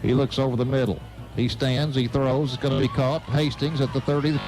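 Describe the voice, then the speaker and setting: A man's voice: medium pitch, level tone, a little fast, over music, low-quality recording. An American man doing live radio play-by-play commentary of a football game.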